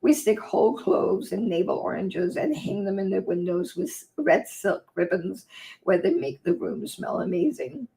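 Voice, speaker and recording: A woman from Denmark hosting a casual livestream and reading aloud from her own book, her voice croaky and hoarse from a long day of talking, chatty and easily sidetracked. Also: mid-range voice, expressive, moderate pace, mellow, poor audio. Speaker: vlog host and author.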